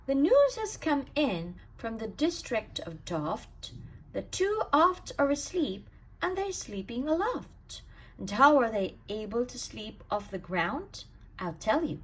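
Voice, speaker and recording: Woman, children's storybook read-aloud, unhurried pace, lively swooping intonation, emphatic rhymes. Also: on the high side, husky, low-quality recording. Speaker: storybook reader.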